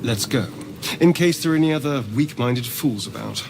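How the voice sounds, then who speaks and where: A man's voice: mid-range voice, moderate pace, gravelly, noisy background, natural voice. A man with a British accent playing a sardonic, sly vampire rogue in a video game, with a haughty, theatrical drawl. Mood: disdainful. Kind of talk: dramatic video game character dialogue.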